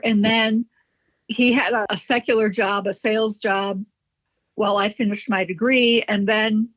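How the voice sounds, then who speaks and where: A woman's voice: medium pitch, no echo. Dry, muffled middle-aged woman storyteller. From Chicago, USA. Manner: chatty, reminiscent, light laughs. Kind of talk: conversational interview storytelling. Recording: dull, slightly muffled, dry.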